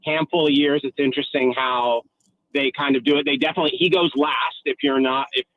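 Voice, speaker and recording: A man's voice: medium pitch, fairly even intonation, fairly quick, no echo, lo-fi sound. A man who works as an arena announcer, chatting casually as a radio guest in a self-deprecating, jokey way. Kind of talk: casual chat on a radio show.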